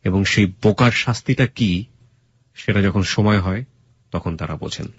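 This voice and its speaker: A man's voice: fairly low pitch, moderate pace, breathy edge, no echo, lo-fi sound. A man with a Bangladeshi accent hosting a radio interview, giving blunt, emphatic advice and mixing in English phrases. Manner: mixes English words and phrases into speech. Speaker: radio host.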